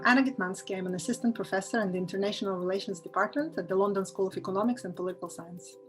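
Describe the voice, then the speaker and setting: A woman's voice: mid-range voice, rapid-fire, over music. Hesitant woman. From Israel. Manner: hesitant, many filler sounds. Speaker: assistant professor. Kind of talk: prepared research statement to camera.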